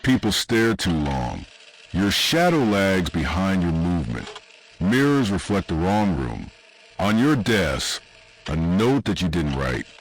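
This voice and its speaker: A man reading scripted horror narration in an ominous, deliberate way, with short dramatic phrases and pauses. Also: deep voice, sing-song, slight background noise. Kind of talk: scripted second-person analog horror narration.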